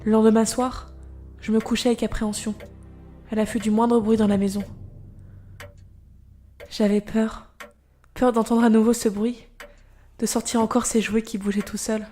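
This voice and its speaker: An actress as an anxious young mother recounts a haunting in an audio drama. Her voice is on the high side and her storytelling is measured, turning hushed and uneasy. Soft music plays behind her. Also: little pitch movement, close to an everyday voice. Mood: fearful. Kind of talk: first-person scripted narration in an audio drama.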